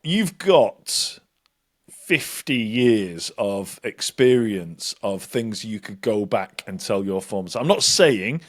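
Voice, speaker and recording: A man's voice: lowish voice, lively intonation, slow. A man, co-hosting a casual podcast, joking, swearing and laughing a lot. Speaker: podcast co-host. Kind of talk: casual banter.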